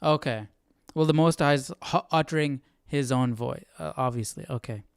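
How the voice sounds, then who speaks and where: Clear-voiced man in a dry, close recording. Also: mid-range voice, moderate pace, no room sound. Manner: informal, thinking aloud. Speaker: Bible study host. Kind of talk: casual study commentary and reading aloud.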